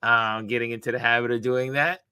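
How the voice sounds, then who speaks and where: A man with a raspy voice hosting a casual live stream, reading viewer comments aloud and greeting people with upbeat shout-outs. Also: mid-range voice, fairly even intonation, fairly quick, dry recording. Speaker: host.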